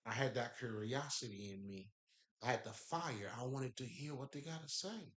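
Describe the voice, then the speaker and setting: Man, Haitian accent, mid pitch, emphatic and profane, laughs a lot, echoey room. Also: normal speed, fairly bright tone. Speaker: spiritual speaker. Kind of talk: casual spiritual talk.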